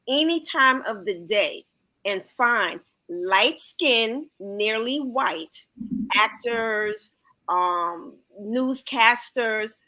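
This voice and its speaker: A woman's voice: on the high side, pushed and bright, low-quality recording. A woman, a guest reviewer from Harlem, New York, talking in a casual panel chat and reasoning aloud with rhetorical questions.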